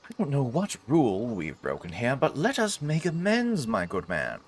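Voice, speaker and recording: A man's voice: medium pitch, huge pitch swings, moderate pace. A man giving casual, playful gameplay commentary as a host, with jokey asides to game characters. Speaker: gaming host playing through a game. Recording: clearly noisy recording with background ambience. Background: game ambience and action sounds.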